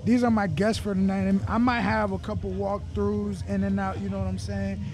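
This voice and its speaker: A man's voice: high voice, flat delivery, a little fast, a little mellow. A man hosting a podcast in casual chat, loose and laid-back, swearing freely, with event music playing behind. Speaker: podcast host.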